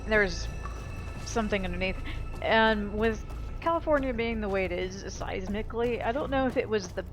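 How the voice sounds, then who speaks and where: A woman's voice: mid-range voice, moderate pace. A woman giving casual gameplay commentary as a let's play host, rambling and blunt with some swearing. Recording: loud game music behind.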